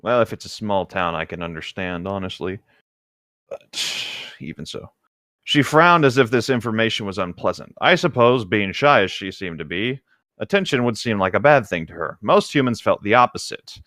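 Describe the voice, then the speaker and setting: A man's voice: lowish voice, animated, normal speed, fairly bright tone, no room sound, studio quality. A man co-hosting a comedic read-along, reading a book aloud and breaking off into casual joking commentary.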